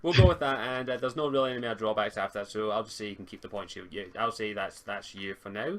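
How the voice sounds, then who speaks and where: A man speaking casually as game master of a tabletop roleplaying game, halting and repeating words as he thinks. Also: on the low side. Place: home online voice call stream.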